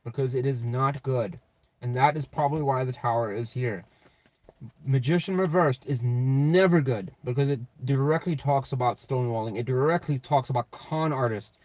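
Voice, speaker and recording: A man giving a casual, off-the-cuff tarot reading in a blunt, sweary, slangy way, with a slightly raspy voice. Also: medium pitch, normal speed, darkish tone, low-quality recording. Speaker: tarot reader and channel host.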